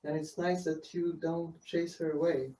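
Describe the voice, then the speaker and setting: A man's voice: a little high, flat delivery, measured pace, warm tone, distant mic. A man chatting with a monk before a session, friendly and a bit hesitant. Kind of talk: casual chat. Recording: echoey room with faint noise.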